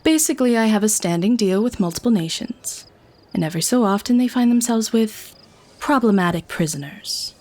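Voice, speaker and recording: A woman's voice: mid-range voice, expressive, a little fast, darkish tone, natural voice. A woman playing a haughty, teasing vampire who keeps prisoners, in an ASMR-style audio drama. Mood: smug, matter-of-fact. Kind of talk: ASMR audio roleplay acting.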